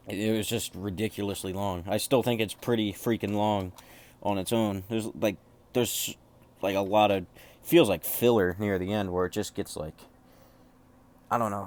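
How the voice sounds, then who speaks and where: A man with a low voice talks in a casual, unscripted way, like a collector showing items one by one. He rambles offhandedly and drops swear words freely. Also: a little mellow. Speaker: music collector showing off cassette tapes.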